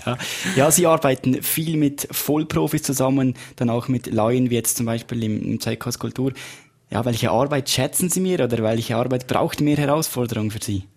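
Male radio host at middle pitch. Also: moderate pace, a little mellow. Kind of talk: radio interview.

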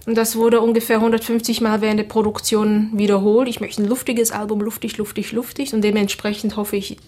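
Woman, flat even speech. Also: mid-range voice. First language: Creole. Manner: relaxed, hesitant with many fillers. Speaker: singer guest. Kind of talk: casual interview answers.

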